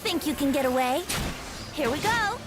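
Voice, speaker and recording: A woman's voice: high-pitched, expressive, moderate pace, over music. A woman voicing a spirited, bold young female adventurer in a video game, assertive in manner. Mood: battle-ready. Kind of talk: video game character voice acting.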